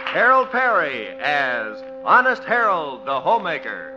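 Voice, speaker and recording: Animated man announcing old-time radio. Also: on the high side, unhurried. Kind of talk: 1950s radio announcing, scripted narration. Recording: muffled 1950s broadcast with music.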